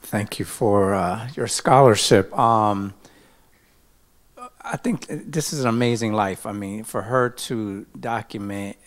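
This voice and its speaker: A man speaks up from the audience in a large hall to ask a question. His voice sits somewhat low, and he pauses with fillers as he speaks. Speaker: audience member asking a question.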